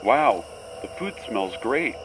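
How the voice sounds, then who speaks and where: Slow, stilted cartoon boy, voiced by a man, noisy audio. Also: mid-range voice, big pitch swings. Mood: pleased. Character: naive, polite cartoon boy. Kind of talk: amateur horror cartoon dub.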